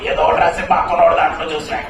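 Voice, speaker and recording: A man's voice: on the high side, monotone, racing, gravelly. A man playing a sly, carefree devil in a puppet show, talking casually and teasing as he coaxes. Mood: lazy, teasing. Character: sly, carefree male devil luring a schoolboy to hell. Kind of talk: comic puppet show dialogue. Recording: echoey, distant, noisy live show sound.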